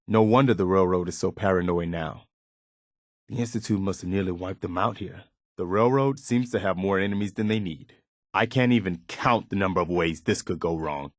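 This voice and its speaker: An actor playing an earnest, dutiful militia soldier in a post-apocalyptic video game, speaking in a plain, folksy way. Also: male voice, low-pitched, dry recording, studio quality, lightly acted. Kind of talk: video game companion voice lines.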